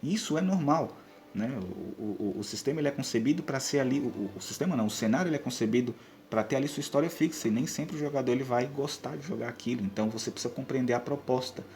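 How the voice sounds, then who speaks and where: A man's voice: medium pitch, fast talker, darkish tone. A man with a Brazilian accent giving conversational, explanatory advice as a podcast co-host and game master. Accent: Brazilian Portuguese. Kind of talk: conversational advice on tabletop role-playing games.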